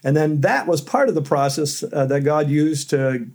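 A middle-aged man, a seminary professor, telling stories as a podcast guest in a loud voice with fillers. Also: a little high, lively intonation, moderate pace. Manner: loud voice, storytelling with fillers and light laughs. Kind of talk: conversational interview answers.

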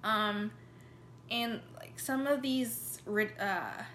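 A woman's voice: fairly high pitch, very slow, a bit bright, slight background noise. A woman giving a casual, informal presentation, hesitant, with many fillers and restarts. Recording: echoey room.

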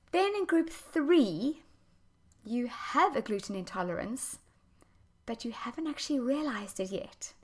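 A woman with a slightly higher voice than most hosts her solo podcast as a health coach. Her voice is a little croaky from a recent chest infection. She talks in an earnest, explaining way, walking listeners through her points one by one.

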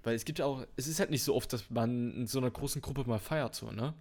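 A man's voice: mid-range voice, fast, darkish tone. Laid-back young man, slangy chat. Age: turning 19. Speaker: podcast co-host. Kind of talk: casual chat.

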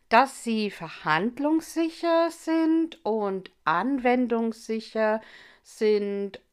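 A woman whose first language is English, hosting a podcast and speaking slowly and clearly as a language teacher. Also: fairly high pitch. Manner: slow, clearly articulated delivery. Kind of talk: podcast monologue.

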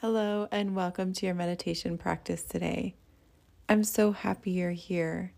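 A woman leading a guided meditation as a teacher, speaking in a soothing, gentle and unhurried way. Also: lowish voice, steady tone, vocal fry.

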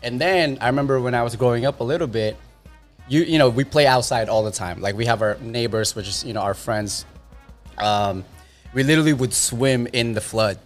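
A man's voice: mid-range voice, brisk, soft background music. A man in his thirties from the Philippines, chatting casually about growing up, rambling and correcting himself as he goes. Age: 30s. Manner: rambling, self-correcting storyteller. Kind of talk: casual chat.

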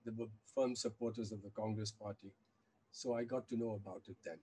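An older man over some background noise. He answers as an interview guest, haltingly, feeling his way through recollections and correcting himself as he goes. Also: fairly low pitch, fairly even intonation, mellow. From India, with childhood years in China and the US. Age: 70s. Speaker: interview guest, activist and former journalist. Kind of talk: reflective interview conversation.

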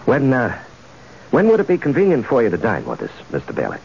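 An actor playing an ambitious, impatient corporate husband in a radio drama. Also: male voice, medium pitch, wildly swooping pitch, fast, vocal fry. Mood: deferential. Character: ambitious corporate husband, eager, deferential to bosses, impatient at home. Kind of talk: 1970s radio drama acting. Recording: dull, noisy old radio drama recording.

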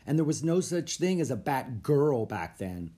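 A man's voice: mid-range voice, big pitch swings, normal speed, dark tone, natural voice. A man voicing an earnest, slightly cautious young boy adventurer in a children's storybook, eager and plain-spoken. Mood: doubtful.

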